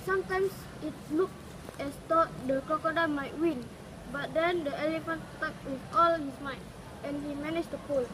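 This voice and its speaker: A woman's voice: high voice, moderate pace. A woman reading a folk tale aloud. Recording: clearly noisy recording with ambient sound.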